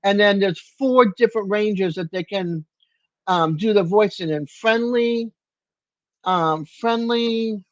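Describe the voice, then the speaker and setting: An older woman hosting a casual livestream chat from home, rambling and halting with lots of fillers and restarts. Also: medium pitch, no room sound. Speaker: livestream host. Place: home room with a fan. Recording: dull lo-fi stream audio.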